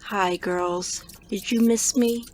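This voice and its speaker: An actress playing an understated, unhurried woman lab worker in an audio drama. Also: mid-range voice, fairly even intonation, a little hiss or hum, lightly acted. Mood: affectionate. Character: woman doctor sneaking in as lowly lab assistant. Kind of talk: scripted audio drama acting.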